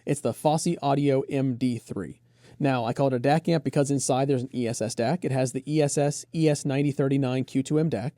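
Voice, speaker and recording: A man with a mellow, relaxed voice presents a product review. He is conversational and enthusiastic, moving through features in detail. The sound is dry, with very little room to it. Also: medium pitch, brisk, no echo.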